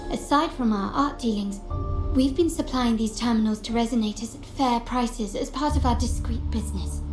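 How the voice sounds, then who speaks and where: A woman acts out a young heiress of a powerful merchant family in a video game. Her voice sits fairly high and she speaks formally, with measured composure. The sound is roomy, with music clearly behind her. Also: close to an everyday voice. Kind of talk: scripted video game voice acting.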